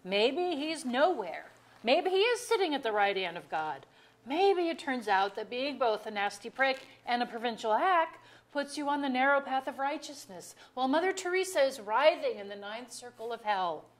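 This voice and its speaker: A woman's voice: high voice, animated. A woman acting a middle-aged wife in a staged play reading, dry, articulate and wearily exasperated. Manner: dry, articulate, deliberate phrasing. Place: auditorium.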